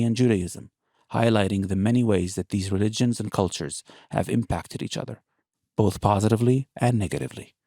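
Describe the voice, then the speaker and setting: A man reading a scripted podcast introduction in an even, read-aloud manner. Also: on the low side, no echo, clean studio sound. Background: soft guitar music.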